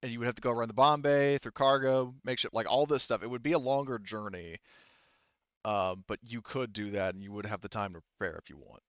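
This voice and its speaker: A man's voice: medium pitch, brisk, dry recording, lo-fi sound. A man speaking casually and emphatically as game master, explaining options to players in a tabletop roleplaying game.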